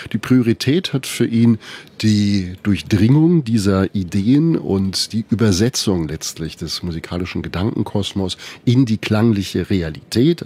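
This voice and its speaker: A man hosting a live interview and translating his guest's answers in a measured, formal way. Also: deep voice, a little mellow, husky. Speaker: radio host and interpreter.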